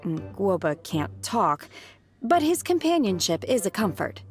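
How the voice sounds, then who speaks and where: A woman's voice: medium pitch, measured pace. A woman voicing a stoic, socially awkward young disciple who speaks formally in a video game. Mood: deadpan. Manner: deadpan, formal. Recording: faint music behind.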